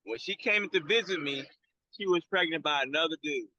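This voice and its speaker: A man on a video call telling a personal story in a lively, defensive, laughing way. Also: fairly high pitch, fairly quick, muffled recording, a little hiss or hum. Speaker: guest.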